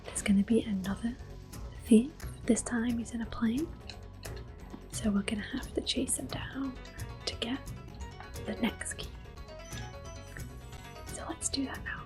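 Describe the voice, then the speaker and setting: A woman doing ASMR gameplay commentary in a soft, breathy, gentle voice, mostly whispered. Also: on the high side, over music. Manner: gentle, unhurried. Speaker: game streamer.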